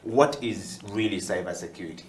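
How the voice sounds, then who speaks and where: Low-voiced host, roomy echo, long rambling questions. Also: male voice, fairly quick. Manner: steady backchanneling, rambling questions. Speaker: interview host. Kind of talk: interview questions. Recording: echoey room.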